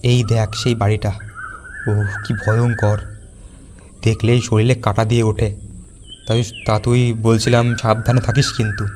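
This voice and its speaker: A man playing a worried, cautious young village schoolteacher in an animated horror story. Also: lowish voice, measured pace, long pauses, background noise, lightly acted. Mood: uneasy, subdued. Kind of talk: acted cartoon dialogue.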